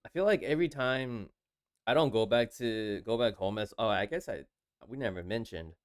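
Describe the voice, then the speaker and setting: A man from Taiwan co-hosting a podcast, telling stories casually and haltingly, often circling back to rephrase. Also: on the low side, lively intonation, clean tone, no room sound, studio quality. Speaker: podcast co-host. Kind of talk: casual conversational storytelling.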